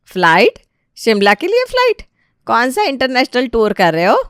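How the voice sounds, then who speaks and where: A woman voicing a sharp-tongued, defiant middle-aged wife and daughter-in-law who argues back in an animated family story. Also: a little high, normal speed, clean tone, no room sound, studio quality. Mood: incredulous. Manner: argumentative, retorting.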